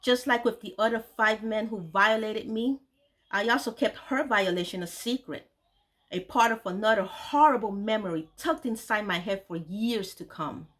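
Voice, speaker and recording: A woman reading aloud from her own memoir, steady and mid-pitched. She tells the story in an earnest, grave way, letting heavy moments sink low and quiet. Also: normal speed.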